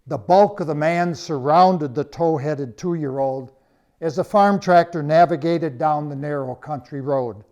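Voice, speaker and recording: Male storyteller, slightly high voice, scripted narration, measured dramatic phrasing, deliberate pauses. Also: a little mellow. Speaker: author reading own story.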